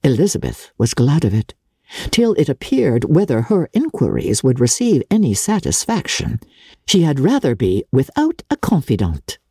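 A woman narrating a classic novel in a measured, theatrical, expressive way. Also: extremely low pitch, breathy edge, no echo, clean studio sound. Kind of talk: dramatic literary narration.

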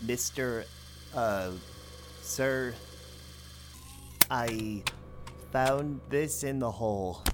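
A man's voice: mid-range voice, very slow, pauses often, background noise. An actor playing a nervous male tunnel digger who stammers and hesitates. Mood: timid.

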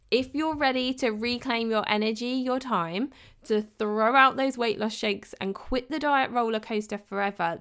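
Woman, upbeat scripted promo read, persuasive. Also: a bit bright. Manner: upbeat, persuasive sales pitch. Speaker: podcast host.

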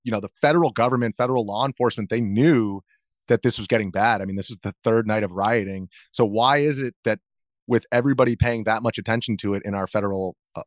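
An American man speaking as an interview guest, explaining things in a measured, conversational way. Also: fairly low pitch, no room sound, studio quality. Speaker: interview guest, video journalist.

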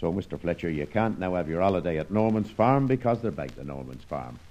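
An actor playing a proud, plain-spoken, worried old farmer with a rural English accent in an old radio serial. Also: male voice, low-pitched, expressive, brisk, darkish tone, highs cut off. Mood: grieved.

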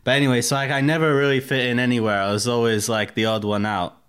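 A man's voice: medium pitch, level tone, quick pace, fairly bright tone, clean tone. Candid man with a mixed accent. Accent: mixed Russian, American and English. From Russia. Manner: candid, profane, rambling with many fillers. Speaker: guest. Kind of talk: casual interview chat.

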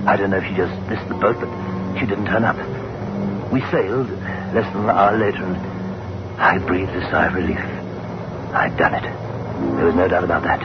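A man's voice: sits low, fairly even intonation, normal speed, long pauses, lightly acted. A man playing a frightened, guilt-ridden husband in an old radio horror drama, agitated, defensive and stammering. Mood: haunted, dread. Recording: noisy, muffled old radio recording.

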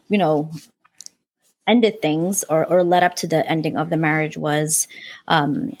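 A woman's voice: low-pitched, dry recording. A woman in her forties, born in Afghanistan and raised in New York, telling her story as a podcast guest in an earnest, reflective way. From born in Afghanistan, raised in New York City. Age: 40s. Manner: earnest and reflective, religious phrases, frequent fillers. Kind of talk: personal storytelling in conversation.

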